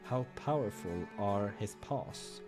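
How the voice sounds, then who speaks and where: A man's voice: on the low side, expressive, takes it slowly, music in the background. A man hosting a game playthrough, reading visual novel narration and breaking off for casual asides.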